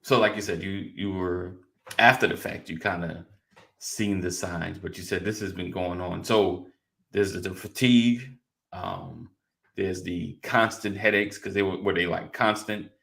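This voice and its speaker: Deep, gravelly-voiced male host. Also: steady tone, unhurried, a bit bright. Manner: frequent short affirmations, tentative questions. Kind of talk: casual interviewing.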